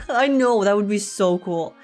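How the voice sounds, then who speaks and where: Female game streamer, mid-pitched, casual and giggly, chats and reads aloud over soft game music. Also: unhurried. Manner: giggly, informal. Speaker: game livestreamer. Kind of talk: casual livestream chat, reading text aloud.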